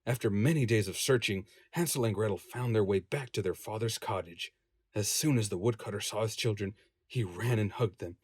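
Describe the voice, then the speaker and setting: A man's voice: lowish voice, brisk, a little mellow, husky, no echo, clean studio sound. A man reading scripted audiobook narration as a voice-over narrator.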